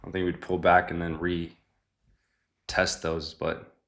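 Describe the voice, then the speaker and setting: A young man hosting a live trading stream, chatting casually with viewers and thinking out loud, often dropping to a quiet mutter. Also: low voice, completely flat delivery, slow pace. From Mexican family. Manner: laid-back, thinks aloud, often mutters quietly to himself. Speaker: livestream host trading futures.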